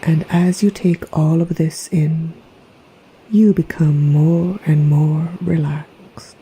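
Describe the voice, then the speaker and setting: Woman, guided meditation, very mellow dark tone, low pitch, soft near-whisper, soothing, hypnotic. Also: steady tone, slow pace, low-quality recording. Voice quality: soft, breathy, partly whispered. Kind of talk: slow guided meditation, scripted narration. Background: relaxation music.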